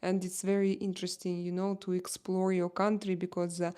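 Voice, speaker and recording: A woman's voice: on the low side, little pitch movement, fairly quick, mellow, clear, resonant tone. A young woman with a Russian accent hosting a casual live stream who reads viewer comments aloud and chats in non-native English, with plenty of fillers and restarts. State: just back from a workout.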